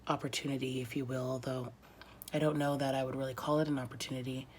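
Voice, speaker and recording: Stuffy thirties woman vlogging, breathy half-whisper, pauses a lot, bit of room hiss behind. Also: rumbling low, flat delivery. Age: 30s. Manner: halting, reflective, many pauses and fillers. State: congested, losing her voice. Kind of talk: casual confessional vlog.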